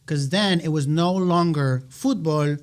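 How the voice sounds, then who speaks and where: This man from Argentina co-hosts a casual podcast. His voice is a bit high for a man, and he trades quick, playful banter and laughs easily. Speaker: podcast co-host. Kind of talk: casual chat.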